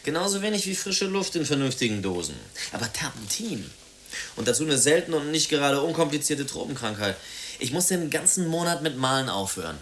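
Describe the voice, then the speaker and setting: An actor in a German radio drama playing a self-absorbed, sardonic former sailor with a flippant, wordy, theatrical way of talking. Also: male voice, a little high, sing-song, a little fast, a bit bright, slight background noise.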